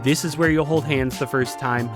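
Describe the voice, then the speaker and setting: A man's voice: mid-range voice, fairly even intonation, normal speed. A man narrating a scripted video lesson as presenter, emphatic and informal with playful exaggeration. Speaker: video essay presenter teaching game writing. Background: background music.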